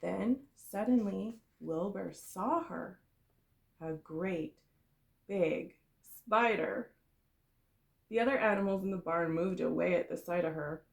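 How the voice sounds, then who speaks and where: A woman reading a storybook aloud for young children, in the expressive, explanatory way of a kindergarten teacher. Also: on the low side, slow, vocal fry.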